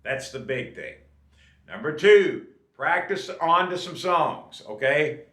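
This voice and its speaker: A man's voice: a little high, expressive, slow, fairly bright tone, roomy sound. A man in his late fifties giving casual, folksy advice to viewers, often checking in with "okay?". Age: 50s. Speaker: drummer and channel host.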